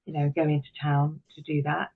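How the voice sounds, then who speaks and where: A woman's voice: sits low, little pitch movement, a little fast, creaky, breathy edge. A middle-aged woman speaking as an interview guest, reflective, articulate and emphatic, on muffled call audio.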